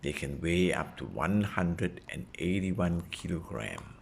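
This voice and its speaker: A man reading a children's nonfiction book aloud as a parent would, in a deliberate way suited to a young child. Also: deep voice, animated, gravelly, slight background noise. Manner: deliberate, repeating and simplifying phrases for a child.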